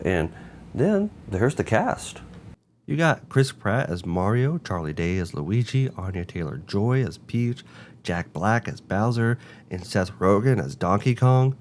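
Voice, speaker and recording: A man presenting a semi-scripted review as a show host, with emphatic, measured phrasing and stressed openers. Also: on the low side, big pitch swings, darkish tone, a little hiss or hum. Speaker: host of a video game and film review show.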